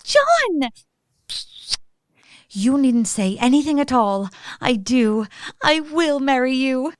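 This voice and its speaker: Woman playing a lively, genteel young romantic lady. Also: high voice, slow, dry recording, studio quality, heavily acted. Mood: delighted. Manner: gushing, proper period diction. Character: genteel, romantic young bride-to-be, bright-eyed and curious. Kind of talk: radio play acting.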